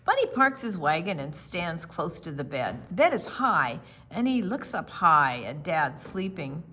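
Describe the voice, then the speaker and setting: A woman reading a picture book aloud to young children, gentle, with dramatic pauses and drawn-out words. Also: lowish voice, sing-song, slightly rough. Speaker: storytime reader.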